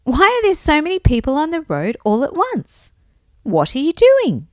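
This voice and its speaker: Woman playing an excitable, high-pitched pleading blind beggar man. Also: unhurried, no echo, studio quality. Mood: curious calling. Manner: excited, insistent calling out.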